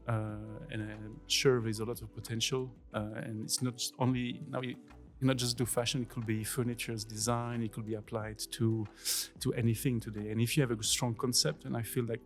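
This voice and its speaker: A Swiss man in his forties talks through his answers with lots of "uh"s and "um"s and slightly non-native phrasing. His pitch sits at an ordinary middle height. Soft music plays faintly underneath. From Switzerland. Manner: hesitant, many fillers, non-native phrasing. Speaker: guest workshop teacher. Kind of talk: casual interview.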